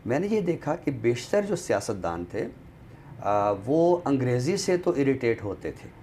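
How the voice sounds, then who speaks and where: A Pakistani man talks as a guest commentator in a voice of middling pitch. His delivery is formal and deliberate, broken by frequent pauses. Faint noise sits in the background of the recording. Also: very animated, dark tone. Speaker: guest commentator on a literary show. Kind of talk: thoughtful spoken discussion.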